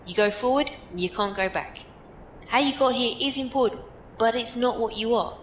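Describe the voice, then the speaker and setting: A woman's voice: moderate pace, natural voice. A woman playing a plainspoken, philosophical survivor in an audio drama, giving encouraging, matter-of-fact advice. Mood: earnest, reassuring. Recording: roomy echo, faint background rumble.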